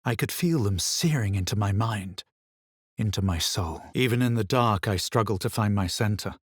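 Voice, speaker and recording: A self-assured male adventurer for a fantasy game. His voice is lowish and husky, with a grave, theatrical haughtiness. The sound is dry and studio-clean. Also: moderate pace, no echo. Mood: brooding, grave. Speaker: player character voice actor. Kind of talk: dramatic scripted fantasy game lines.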